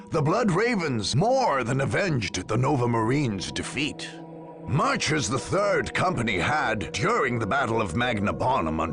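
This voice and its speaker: Gravelly, dramatic male war chronicler. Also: medium pitch, exaggerated voice. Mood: solemn. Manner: solemn, measured, theatrical delivery. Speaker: narrator. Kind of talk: scripted dramatic war-chronicle narration for a video game.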